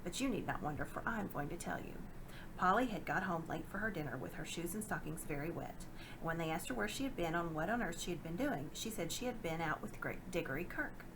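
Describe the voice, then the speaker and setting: A woman reading a children's novel aloud in a dramatic, expressive narrating style. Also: on the low side, quick pace, background noise. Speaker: storybook reader.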